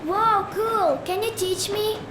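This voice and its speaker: A woman's voice: very high voice, takes it slowly. A woman playing an eager, sweet little child in a game, with a childlike manner. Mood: excited. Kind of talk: game cutscene dialogue. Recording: echoey, noisy ambience.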